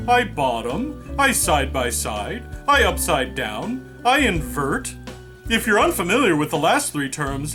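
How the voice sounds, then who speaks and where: A man's voice: on the high side, heavily acted. Creepy leering hugger, a man puts on. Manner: leering, suggestive. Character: creepy, oversharing male character who pushes hugs.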